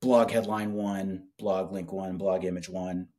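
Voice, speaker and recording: A man in his forties making a casual, enthusiastic product pitch in conversation, speaking colloquially on a video call. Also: steady tone, unhurried, warm tone. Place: small office with whiteboard, indoors.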